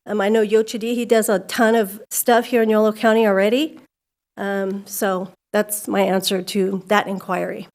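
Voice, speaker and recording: Middle-aged woman at the podium mic, candid and chatty, gets emphatic, mid pitch. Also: little pitch movement, normal speed, darkish tone. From California. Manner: candid, conversational, grows emphatic. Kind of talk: public comment at a school board meeting. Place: civic center meeting hall.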